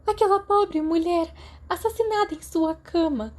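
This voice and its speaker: A woman's voice: very high voice, unhurried, darkish tone, voice pushed up. A woman voicing a horrified, tearful teenage schoolgirl with a Brazilian accent, exclaiming in a storybook reading.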